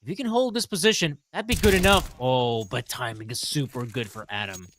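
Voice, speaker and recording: A man's voice: medium pitch, fairly quick, fairly bright tone, slight background noise. A man from the Baltics co-commentating a live esports match, analytical and joking. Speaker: esports caster.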